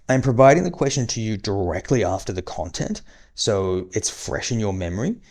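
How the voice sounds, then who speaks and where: A man with a fairly low voice talks at a brisk clip as he teaches a recorded certification course. He sounds chatty and encouraging, calls his listeners guys, and his voice is starting to give out. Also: a little mellow. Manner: quick, chatty and encouraging, addresses viewers as guys. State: losing his voice. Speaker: online course instructor. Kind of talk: recorded exam-prep lesson.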